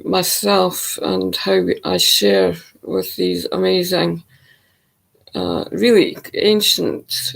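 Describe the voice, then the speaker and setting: A woman speaking in a slow, soft and hesitant reflective monologue, with a croaky voice and many pauses. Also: lowish voice, low-quality recording. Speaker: spiritual channel. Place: home.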